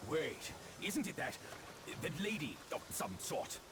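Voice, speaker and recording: A cheeky vain pig demon in a game cutscene, super swoopy playful delivery, noisy ambience behind. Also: male voice, fairly high pitch, measured pace. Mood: protesting. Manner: playful, expressive, protesting. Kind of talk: theatrical video game cutscene dialogue.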